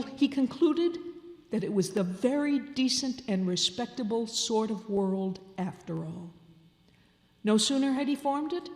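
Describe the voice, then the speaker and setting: A woman reads a Victorian story aloud from a stage in a big reverberant hall. Her voice sits at an ordinary pitch for a woman. She phrases with care and expression, setting key words apart with pauses. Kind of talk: dramatic live reading of a Victorian Christmas story. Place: large indoor hall, live performance. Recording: echoey hall with long reverb.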